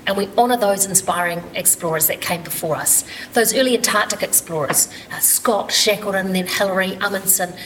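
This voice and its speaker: A woman's voice: lowish voice, a bit bright, a little hiss or hum, poor audio. New woman giving a welcome speech. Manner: enthusiastic, a few fillers. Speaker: chief executive of a heritage charity.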